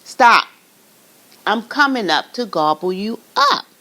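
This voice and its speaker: A woman voicing a mean, greedy male troll in a read-aloud storybook, with a loud, gruff, menacing delivery. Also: on the low side, very animated, measured pace, heavily acted.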